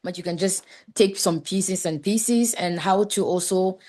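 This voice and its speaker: A woman from Ghana, a podcast guest speaking earnestly over a video call, halting often with fillers and restarts. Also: fairly low pitch, dark tone. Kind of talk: conversational interview.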